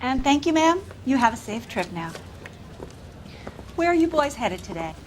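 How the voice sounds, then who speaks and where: An older depot clerk in a crime game, courteous and helpful. Her voice is high and very lively in pitch. Faint noise sits behind it. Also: normal speed. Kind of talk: video game drama acting.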